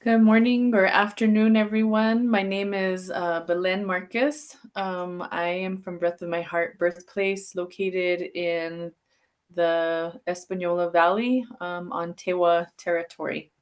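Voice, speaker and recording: Even-toned woman panelist, lowish voice. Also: unhurried. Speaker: birth center representative, panelist. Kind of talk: casual self-introduction. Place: online video call.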